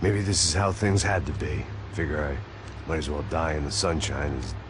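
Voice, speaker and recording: Weary ex-cop, very low voice, terse, dry sarcasm, game cutscene. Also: male voice, fairly even intonation, moderate pace, noisy background. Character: world-weary male ex-cop bodyguard, sardonic. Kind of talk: video game voice acting. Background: music score.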